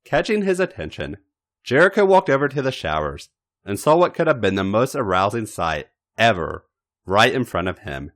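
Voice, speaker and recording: A man reading a story aloud in a dramatic, expressive narrating style, with theatrical emphasis and deliberate pauses. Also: on the low side. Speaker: podcast host reading fan fiction.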